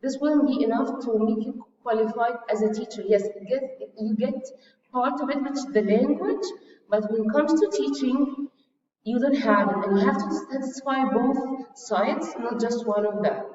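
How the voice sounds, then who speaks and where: A woman's voice: fairly high pitch, normal speed, darkish tone. A woman with an Egyptian accent, a teacher being interviewed at a conference, speaking with many hesitations and fillers. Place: conference venue. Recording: echoey hall, distant mic, muffled.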